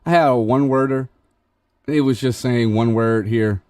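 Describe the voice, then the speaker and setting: A man hosting a music-mixing tutorial, walking through the steps in a relaxed, explanatory way with frequent restarts. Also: mid-range voice, unhurried, background noise. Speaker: host demonstrating music mixing. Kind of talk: casual tutorial walkthrough.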